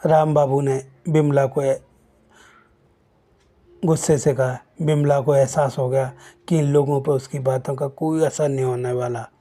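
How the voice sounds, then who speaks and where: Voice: medium pitch, little pitch movement, measured pace, warm tone. A storyteller narrating a moral family story, occasionally stumbling and repeating words while reading.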